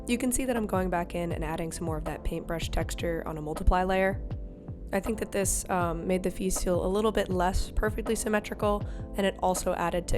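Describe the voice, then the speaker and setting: A woman with a slightly raw throat after being sick, narrating her digital painting in a chatty, easygoing way with small laughs. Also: fairly low pitch. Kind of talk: casual voice-over commentary. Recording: voice over clear background music.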